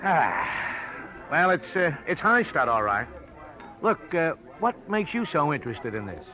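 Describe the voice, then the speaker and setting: An actor playing a cocky, flirtatious, shrewd reporter with an American accent and a slangy, sardonic way of talking, in a 1940s radio drama. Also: male voice, fairly high pitch, very animated, measured pace, sharp tone, soft background music, full character voice. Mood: breezy, cocky. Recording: muffled old radio broadcast recording.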